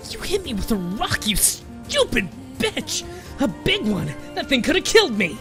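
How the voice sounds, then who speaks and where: A man's voice: high voice, wildly swooping pitch, moderate pace, over music, pitched above the performer's own voice, heavily acted. A man doing a cruel, whiny boy bully. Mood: shrieking.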